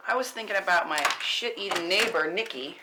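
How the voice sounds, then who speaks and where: A woman's voice: lowish voice, fairly quick, close to an everyday voice. A woman acting a blunt, sweary but loyal and self-deprecating friend in a conversational script read, recorded in a noisy room. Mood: wry, confiding.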